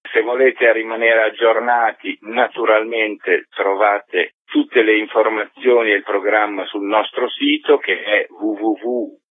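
A man's voice: lowish voice, fairly even intonation, measured pace, hardly any pauses, no echo. A man being interviewed by phone as a theatre maker, explaining his work in a reflective, articulate way over a tinny, muffled line. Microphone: telephone.

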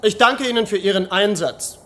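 An actor playing a calm, courteous commanding officer in a radio crime drama, speaking formally and with authority. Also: male voice, sits high, moderate pace, slight background noise. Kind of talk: radio play dialogue.

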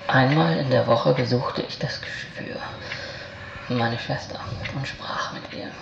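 A man playing a brooding, deranged loner in an experimental radio-play monologue, speaking deliberately in halting fragments, often hushed and breathy, in a creaky voice. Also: mid-range voice, steady tone. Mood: morbid, intimate. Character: brooding, morbidly deranged male loner narrating from his tower. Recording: dull, noisy lo-fi radio-play recording.

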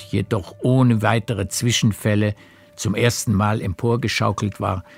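A man narrating a radio play as a literary storyteller, with measured, deliberate phrasing. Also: medium pitch, gravelly.

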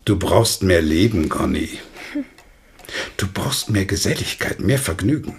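An actor in a German radio drama playing a stout, blunt old father who urges deliberately, with pointed pauses. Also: deep voice, animated, vocal fry, exaggerated voice. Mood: coaxing, urgent. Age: older.

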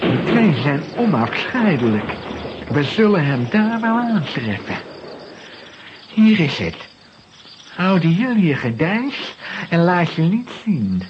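A crooked antique dealer in a children's radio play, sly and menacing. He speaks slowly with a lively, swinging pitch. The recording is dull and noisy. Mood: sly, businesslike. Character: scheming, threatening crooked male antique and art dealer. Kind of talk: children's audio play acting.

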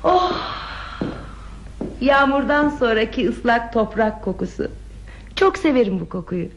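An actress playing a lonely, withdrawn woman in a radio play, confiding her sad past in a hesitant, soft, reflective way. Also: fairly high pitch, expressive, a little slow. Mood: wistful, tender. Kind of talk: Turkish radio theatre acting. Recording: muffled lo-fi radio drama with music.

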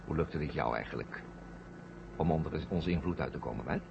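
A man's voice: a bass voice, a little fast, gravelly. A male actor playing a coldly triumphant, sarcastic astronaut traitor in a 1970 science fiction radio drama. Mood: mocking. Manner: sarcastic, taunting. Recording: muffled old radio recording, faint noise.

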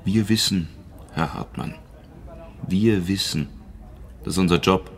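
Smug, very deep-voiced security policeman. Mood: smug, knowing. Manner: condescending, measured, evasive. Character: smug, evasive male state-security policeman, condescending and unruffled. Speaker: actor playing a police officer. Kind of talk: naturalistic radio crime drama acting.

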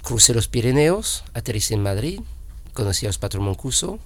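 A man in his fifties with a French accent, a guest chatting casually in an interview, often pausing and restarting. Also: mid-range voice, very animated, no echo. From France. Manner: halting, many restarts and fillers. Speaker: guest illustrator.

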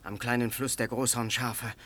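An actor playing a proud, solemn Lakota warrior father who recounts the past in a German radio play, in measured storytelling. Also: mid-range voice, flat delivery, a bit bright, lightly acted. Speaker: narrator and lead character.

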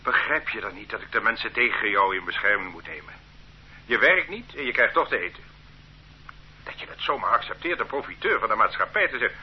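An actor playing a stern, condescending police officer who lectures a detainee in an authoritarian way in a radio drama. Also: male voice, fairly low pitch, animated, a little fast, slight background noise, lightly acted. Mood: self-righteous. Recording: muffled old radio play recording.

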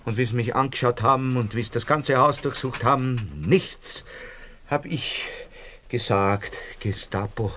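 A man's voice: medium pitch, a little slow, fairly bright tone, a little hiss or hum, natural voice. An actor playing a flustered, blustering middle-aged Austrian father in a radio play, stammering and repeating himself in agitation. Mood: troubled.

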